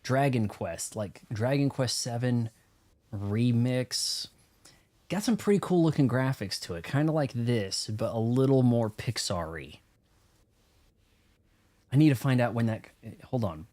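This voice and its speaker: A man's voice: mid-range voice, animated, unhurried, dark tone. A man giving casual, rambling let's play commentary on a video game, talking to himself as he goes. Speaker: streamer playing a video game. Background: game music.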